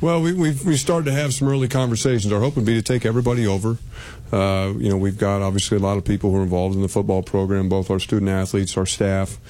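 Very deep, monotone man, dark tone, measured answers. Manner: measured, steady, with fillers. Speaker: university athletic director. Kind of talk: radio interview answers.

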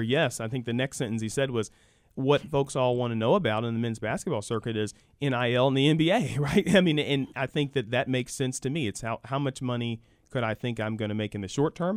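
A man's voice: medium pitch, dry recording, clean studio sound. An American man explaining college sports conversationally as a guest expert in a radio interview, emphatic and fond of hypothetical examples.

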